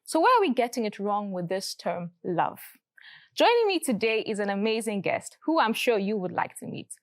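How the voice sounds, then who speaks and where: A woman hosting a faith talk show, presenting and interviewing in a clear, measured way. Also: medium pitch, very animated, dry recording, studio quality. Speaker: host. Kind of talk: scripted show intro and interview.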